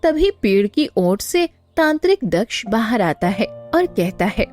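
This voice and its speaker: A woman's voice: on the high side, very animated, a little slow, a little mellow, soft background music. A woman narrating a scripted cartoon moral story as its storyteller.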